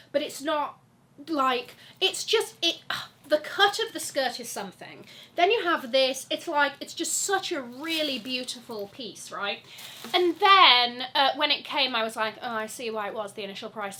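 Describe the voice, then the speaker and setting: A young woman, stuffy from a cold, chatting in a gushing, animated way about her luxury fashion wishlist. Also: sits high. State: congested from a cold. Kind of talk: chatty sponsored wishlist vlog.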